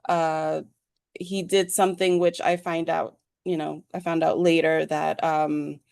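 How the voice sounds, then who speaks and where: A woman from New York, born in Peru, telling her personal story as an interview guest in a hesitant, halting way. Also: deep voice, flat delivery, gravelly, a little mellow, dry recording, clean studio sound. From New York, USA, born in Peru. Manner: hesitant, halting, thinking as she speaks. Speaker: podcast guest.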